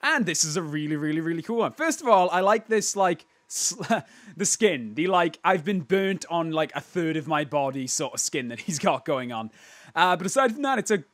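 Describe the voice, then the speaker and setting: Hoarse young guy hosting replay videos, kinda high voice, rambles and restarts a lot, dry sound. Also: expressive, normal speed, a bit bright, no room sound. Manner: rambling, joking, with many restarts. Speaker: host. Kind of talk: casual replay commentary.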